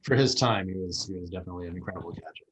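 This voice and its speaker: A man speaking casually as a guest on a video call, thinking aloud in halting, unfinished phrases. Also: deep voice, a bit bright. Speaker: guest speaker, baseball history researcher. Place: remote video meeting. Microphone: video call microphone.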